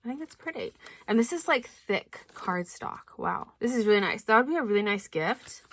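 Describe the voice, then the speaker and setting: A young woman chatting casually through a stationery unboxing, thinking aloud with lots of likes and ums, her voice a little hoarse. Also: on the high side, lively intonation, normal speed, fairly bright tone. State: slightly hoarse. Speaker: planner vlogger.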